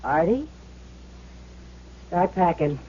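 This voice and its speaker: An actress playing a fussy, money-minded wife and sham medium in a radio drama, chatty and easily flustered. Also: female voice, on the low side, sing-song, slow, mellow, soft background music. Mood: dismayed. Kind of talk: 1970s radio drama acting. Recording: muffled old radio recording.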